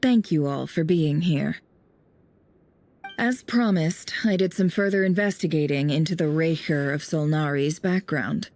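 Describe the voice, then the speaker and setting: An actress voicing a cool, cerebral woman investigator in a video game, composed, deliberate and formal as she tells things. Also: low voice, a little mellow. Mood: composed, authoritative. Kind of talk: scripted game voice acting, measured storytelling.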